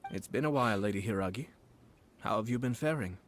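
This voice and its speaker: An actor playing a composed young male noble clan head in a video game, speaking politely and in measured, formal terms. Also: fairly low pitch, animated, slight background noise, lightly acted.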